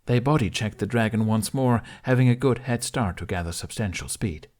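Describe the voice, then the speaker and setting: Low-voiced man narrating an audiobook. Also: normal speed, darkish tone. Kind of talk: scripted dramatic story narration.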